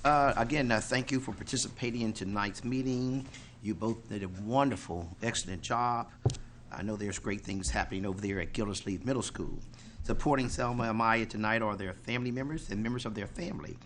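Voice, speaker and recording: A man's voice: fairly low pitch, fairly bright tone, slight background noise. A man chairing a school board meeting, formal and courteous as he introduces speakers at a podium microphone. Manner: courteous, measured, with polite introductions.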